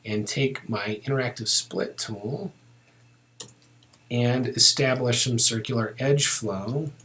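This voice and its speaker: A man giving a casual step-by-step software tutorial, thinking aloud informally as he works. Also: mid-range voice, takes it slowly.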